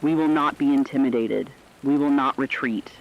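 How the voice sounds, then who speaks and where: A man's voice: medium pitch, moderate pace, gravelly, natural voice. Dull-sounding actor as a solemn male war correspondent. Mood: defiant, resolute. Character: grave radio correspondent relaying war news and presidential speech. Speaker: radio news correspondent. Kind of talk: scripted dramatic news broadcast.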